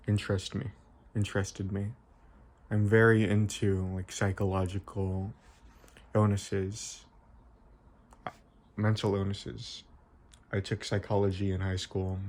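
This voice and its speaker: Low-pitched, flat-toned young man. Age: young adult. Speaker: video essayist. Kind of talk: informal explanatory video essay.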